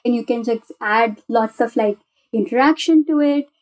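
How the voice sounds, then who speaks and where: Enthusiastic woman with a higher-pitched voice. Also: normal speed. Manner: enthusiastic, gushing. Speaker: guest software developer. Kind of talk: casual conversational explanation.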